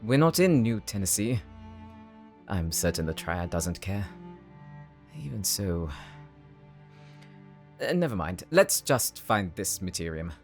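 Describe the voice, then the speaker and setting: A man's voice: sits low, very animated, slow pace, pauses often, over music, full character voice. A man voicing an earnest, homesick tech worker from a space colony in an audio roleplay, explaining things in a sometimes faltering way. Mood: explanatory. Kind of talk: anime-style audio roleplay acting.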